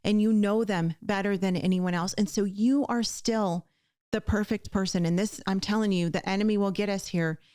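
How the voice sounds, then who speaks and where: A woman's voice: mid-range voice, steady tone, normal speed, darkish tone, clean tone, no room sound, studio quality. A woman hosting a conversational show, speaking in an earnest, emphatic way as she encourages her listeners directly. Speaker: podcast host.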